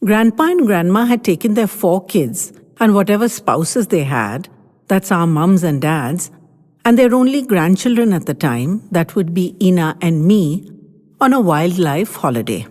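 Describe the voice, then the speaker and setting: A woman's voice: fairly low pitch, lively intonation, measured pace, a little mellow. A woman voicing a grandchild who tells the family a story with teasing, bossy confidence. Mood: storytelling. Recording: long hall reverb.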